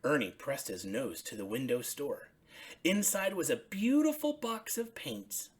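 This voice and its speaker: A woman storyteller reading a children's bedtime story expressively, in simple, deliberate phrases. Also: a bass voice, very animated, husky.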